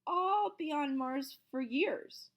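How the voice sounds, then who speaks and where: A woman voicing an excitable male schoolteacher who stammers and squeaks when frightened. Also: normal speed. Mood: cheerful.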